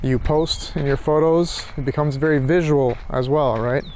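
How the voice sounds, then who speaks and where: A man rambling casually and reflectively in a halting monologue as he walks outdoors, thinking aloud. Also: on the high side, steady tone, darkish tone, slight background noise. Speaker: philosophy tutor vlogger. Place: outdoors, walking.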